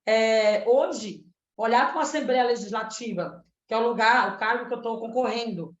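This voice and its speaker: A woman in her thirties from Pernambuco speaks with earnest activist conviction, often tagging her points with questions. Her voice sits fairly high. It comes through a roomy, dull lo-fi line, like a video call. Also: moderate pace. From Pernambuco, Brazil. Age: 30s. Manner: earnest, activist, frequent "né?" tags. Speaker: candidate for state legislature. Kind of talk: panel debate answer.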